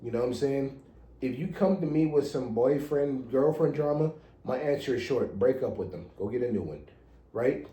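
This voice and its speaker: A man's voice: mid-range voice, lively intonation, normal speed, dark tone, echoey room, rough recording. An American man in his thirties chatting casually as a podcast co-host, blunt and slangy with some swearing. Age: 30s. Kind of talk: casual chat.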